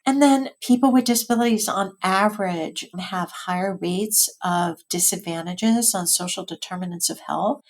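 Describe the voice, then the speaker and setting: An American woman speaks as an expert interview guest. Her delivery is articulate and measured, laying out points in an explanatory way at a middle pitch. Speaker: expert guest, physician and health policy researcher.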